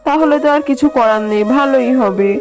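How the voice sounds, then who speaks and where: A woman's voice: sits high, fairly quick, poor audio. A woman voicing a caring, practical mother fox in a children's cartoon, speaking in an earnest, explanatory, motherly way. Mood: calm, hopeful.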